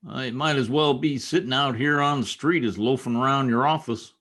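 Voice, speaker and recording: An actor playing a simple, fretful, loyal frontier sidekick in an old-time Western radio play, talking in a folksy, rustic way. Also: male voice, medium pitch, fairly quick, a bit bright, natural voice. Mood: worried, folksy. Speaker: actor in an amateur radio drama.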